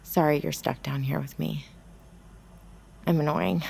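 A woman's voice: deep voice, animated, a little slow, vocal fry, darkish tone, slightly rough, full character voice. A woman in an audio drama playing a chatty, self-deprecating young Gen Z character. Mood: apologetic. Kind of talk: naturalistic audio drama acting.

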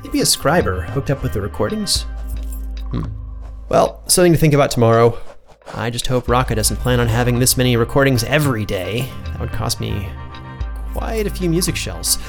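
Creaky, tired fantasy archivist. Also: male voice, medium pitch, expressive, normal speed, faint music behind. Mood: tired, musing. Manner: muttering, musing to himself. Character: tired, absent-minded male fantasy archivist. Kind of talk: fantasy audio drama monologue.

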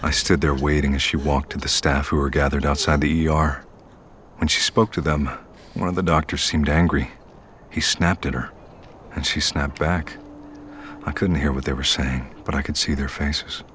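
A man playing a somber storyteller, narrating an audio drama in the first person in a measured way. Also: a bass voice, flat delivery, a bit bright, a little hiss or hum. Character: somber man recounting his friend's death.

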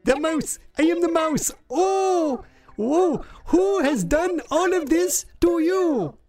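Very high, timid little mouse. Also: male voice, a little mellow, exaggerated voice. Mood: startled, concerned. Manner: high, theatrical, drawn-out exclaiming. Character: small timid helpful mouse, startled and concerned. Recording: long reverb with music behind.

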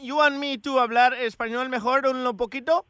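Foreign immigration officer, pushy, broken grammar, foreign accent, crisp, close dry sound, prank-call comedy. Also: male voice, extremely high pitch, steady tone, moderate pace, a bit bright, dry recording. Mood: pushy, baffled. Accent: put-on foreign.

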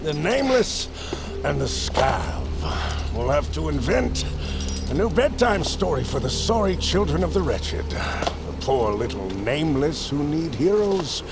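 Scornful fantasy fighter, gravelly, unhurried, animated, dramatic. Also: male voice, a little high, music in the background, full character voice. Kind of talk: dramatic audio fiction acting.

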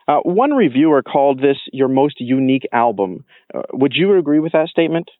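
A man's voice: mid-range voice, big pitch swings, a little fast, no room sound, phone-quality sound. A man hosting an interview, politely putting prepared questions to his guest. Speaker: interviewer, show host.

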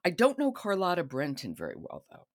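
A woman's voice: on the low side, huge pitch swings, a little fast. Woman hosting a casual book podcast. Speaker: podcast host.

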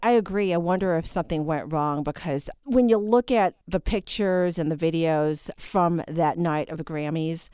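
A woman's voice: lowish voice, normal speed, hardly any pauses, clean tone, no echo, studio quality. A woman co-hosting a casual radio chat, reflective and speaking her mind. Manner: reflective, then animated when indignant.

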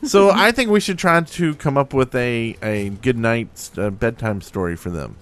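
A man's voice: medium pitch, lively intonation, moderate pace, fairly bright tone, clean tone. A man hosting a podcast in casual, joking chat. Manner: joking, playful. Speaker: podcast host. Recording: music playing behind the voice.

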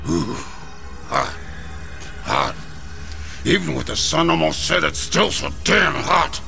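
A folksy gravestone carver in an old-style radio play, chatting and sighing about the heat. The voice is heavily gravelly and bright, and he talks slowly. Soft music plays behind him. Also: a little high, lively intonation, full character voice. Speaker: stonecutter. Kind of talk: classic radio drama acting.

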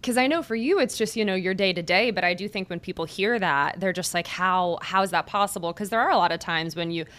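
A woman's voice: lowish voice, fast talker, few pauses, fairly bright tone. A woman hosting a sports podcast as a beat reporter, introducing and interviewing her guest with bubbly enthusiasm. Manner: enthusiastic and bubbly, gushes with praise.

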